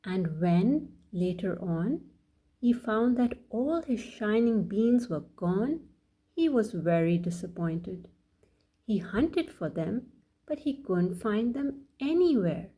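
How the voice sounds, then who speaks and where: A woman reading a bedtime story aloud for children as a storyteller, addressing them directly in a deliberate way. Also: medium pitch, lively intonation, mellow, breathy edge. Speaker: children's storyteller and channel host.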